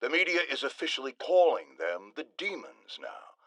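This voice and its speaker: A man's voice: mid-range voice, very animated, normal speed, fairly bright tone, no echo, low-quality recording. A man playing a pompous, paranoid middle-aged radio talk show host in a video game, ranting theatrically with indignant emphasis. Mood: conspiratorial. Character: bombastic, paranoid middle-aged male radio shock jock.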